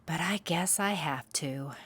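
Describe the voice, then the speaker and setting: A woman's voice: low-pitched, measured pace, a bit bright, slightly rough, exaggerated voice. A woman voicing a playful, childlike young male owl in a storybook, eager to please and given to sing-song. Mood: reluctant.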